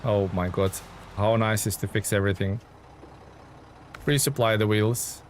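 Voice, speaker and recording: A man's voice: on the low side, steady tone, unhurried. A man from Lithuania, chatting in a friendly, informal way as he thinks aloud on a gaming livestream. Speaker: video game livestreamer. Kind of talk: casual gaming stream chat and commentary. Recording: clear vehicle and engine noise behind. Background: truck game sounds.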